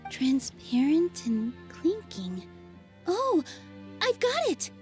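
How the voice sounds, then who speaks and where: Sweet gentle young fairy girl, high voice, earnest anime game acting. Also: huge pitch swings, drawn-out words, soft background music, exaggerated voice. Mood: pleased, clever. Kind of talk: anime-style video game character acting.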